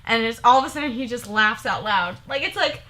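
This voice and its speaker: A woman's voice: a little high, a little fast, pushed and bright, slight background noise. A young woman hosting a casual couple Q&A vlog, giggling often and rambling, repeating herself as she talks. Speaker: vlogger.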